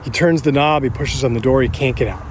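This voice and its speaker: An actor playing an ordinary man recounting a friend's haunting in a naturalistic documentary interview, halting and conversational, in short phrases with pauses. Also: mid-range voice, noisy background, close to an everyday voice. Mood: intense, absorbed. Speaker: interview subject in an audio drama.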